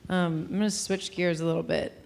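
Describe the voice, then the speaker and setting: A woman host with a lowish, fairly flat-toned speaking voice. She asks thoughtful, admiring questions and keeps the talk moving. The conversation was recorded at a live music venue. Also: fairly quick, creaky. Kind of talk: interview host chat.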